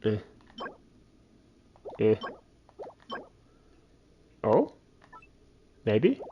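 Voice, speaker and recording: A man's voice: on the low side, wildly swooping pitch, extremely slow, muffled recording, a little hiss or hum. A man livestreaming a video game, casually thinking aloud and muttering to himself as he plays. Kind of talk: casual solo gameplay commentary, thinking aloud. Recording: faint game music behind voice. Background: faint video game music.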